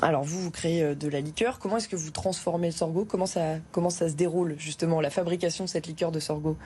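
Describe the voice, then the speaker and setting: A woman hosting a TV interview, asking guests questions with brisk prompts and fillers. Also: low-pitched, steady tone, a little mellow, a little hiss or hum. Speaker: TV host, interviewer.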